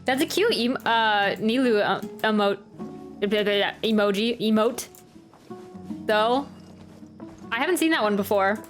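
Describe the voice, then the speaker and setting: A woman's voice: a little high, expressive, measured pace, long pauses. A woman giving casual live game commentary, musing and thinking aloud. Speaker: game reaction streamer. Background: game music.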